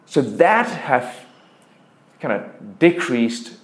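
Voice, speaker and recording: A man's voice: medium pitch, very animated, extremely slow. A man with a Danish accent explaining things in an interview as a startup founder, emphatically and through examples. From Denmark. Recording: echoey room.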